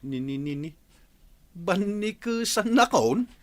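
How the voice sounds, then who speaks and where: A man's voice: sits high, animated, slow pace. A man with an Ilocano accent playing an evasive village husband in a comedy radio drama. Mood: defensive.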